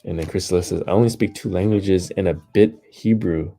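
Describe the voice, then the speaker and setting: Sleepy, very deep-voiced man. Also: fairly quick, creaky, slight background noise. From United States. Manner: soft-spoken, hesitant, many fillers. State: sleepy, low energy. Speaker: livestream host. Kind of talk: casual livestream chat reading comments.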